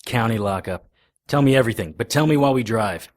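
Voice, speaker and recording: An actor playing a tough, wry occult investigator in a hardboiled radio drama, guarded and gruff in manner. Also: male voice, medium pitch, fast talker, no room sound, close to an everyday voice. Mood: urgent. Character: tough, wry male occult investigator, narrating his own cases.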